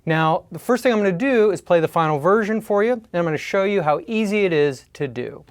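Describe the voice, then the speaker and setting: A man's voice: sits high, lively intonation, brisk, over music. An American man in his forties giving plain-spoken, scripted tutorial narration in a studio. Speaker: tutorial host. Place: studio, indoors.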